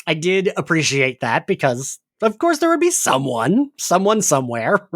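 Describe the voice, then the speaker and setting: A man hosting a podcast recap, talking casually and in a halting, conversational way. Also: fairly high pitch, huge pitch swings, a bit bright, no room sound, studio quality. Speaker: podcast host.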